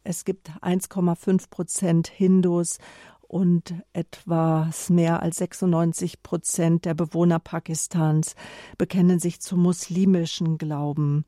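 A woman's voice: lowish voice, mellow, no echo. A woman radio host presenting a scripted introduction and interview questions in a studio.

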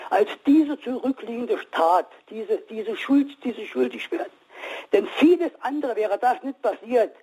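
A man in his sixties calling in to a radio show, telling his story haltingly with many restarts. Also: high voice, darkish tone, husky, low-quality recording. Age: 60s. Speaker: caller. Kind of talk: call-in radio testimony.

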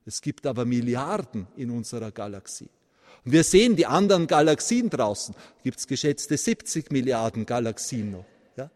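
A man's voice: a little high, in a large hall. A man from Vienna with a Viennese accent, preaching a sermon with emphatic peaks and frequent asides. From Vienna, Austria. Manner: animated preaching, rising to emphatic peaks, tag questions like "ja?". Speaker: priest.

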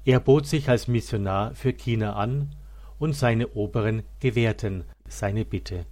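Male narrator for a religious biography, lower-pitched voice, solemn and measured scripted read. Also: a little mellow.

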